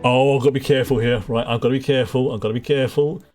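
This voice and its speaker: A man's voice: medium pitch, fairly even intonation, rapid-fire, darkish tone, faint music behind. A man giving casual commentary while streaming a game live. He laughs often and trails off into restarts. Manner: reads on-screen dialogue aloud.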